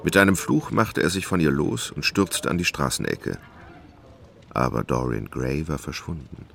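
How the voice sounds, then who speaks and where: A man narrating a literary radio drama in a measured, dramatic way. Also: very deep voice, expressive, creaky, fairly bright tone.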